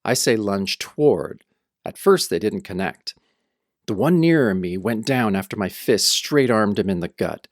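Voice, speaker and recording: A man playing a brooding, tough hero who narrates an audio drama in the first person with grim, deliberate delivery. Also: fairly low pitch, lively intonation, dry recording, studio quality. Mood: grim, tense. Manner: grim, deliberate hardboiled storytelling. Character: brooding tough male hero recounting his nightmare. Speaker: narrator and protagonist.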